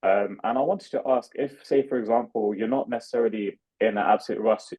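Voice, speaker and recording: A man's voice: lowish voice, a little fast, mellow, breathy edge. A man asking questions as a coaching client in a friendly, chatty way, over dull call audio.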